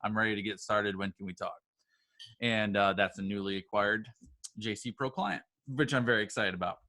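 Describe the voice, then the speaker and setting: A man's voice: on the low side, fairly even intonation, moderate pace, sharp tone, no echo. A man speaking as a business coach and livestream host, talking informally and directly to his followers in an emphatic, motivational way, often repeating phrases for emphasis. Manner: informal, addresses listeners directly.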